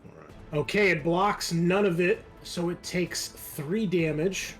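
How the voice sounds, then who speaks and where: A man's voice: high voice, takes it slowly, faint music behind. A man game mastering a tabletop roleplaying game, explaining rules and narrating the action in casual talk.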